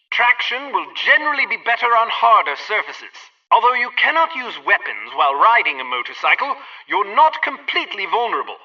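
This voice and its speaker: A prim male gadget instructor from a spy video game, heard a little far from the mic. His tone is very sharp and forceful, with an animated rise and fall. He gives helpful tutorial instructions in formal, precise phrasing. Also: high-pitched, dull audio, heavily acted. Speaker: training instructor. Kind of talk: scripted game tutorial narration.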